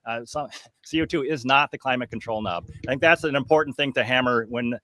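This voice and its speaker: A man's voice: mid-range voice, brisk, fairly bright tone, no echo. A man talking as a guest on a podcast panel, emphatic and scoffing, hammering home his points. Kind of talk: casual panel chat.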